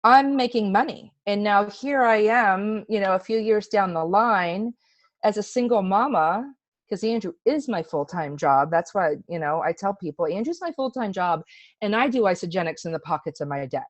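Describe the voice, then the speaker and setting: A woman's voice: medium pitch, animated, normal speed, no echo. A middle-aged woman giving a casual, chatty personal testimonial in an earnest, emphatic way. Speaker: testimonial speaker.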